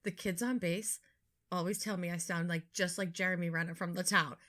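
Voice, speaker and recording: A woman's voice: fairly low pitch, fairly even intonation, fairly bright tone. A middle-aged woman with a Boston accent co-hosting a podcast, telling chatty stories and laughing easily. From Boston area, USA. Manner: chatty storyteller, quick to laugh. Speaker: podcast co-host. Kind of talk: casual chat.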